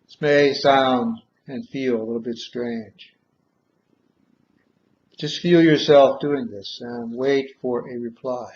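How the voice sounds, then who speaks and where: A man guiding a meditation in measured short phrases with long pauses, on a dull, muffled recording. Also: medium pitch, fairly even intonation, darkish tone. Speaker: guided meditation leader. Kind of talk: guided meditation, slow soothing instruction.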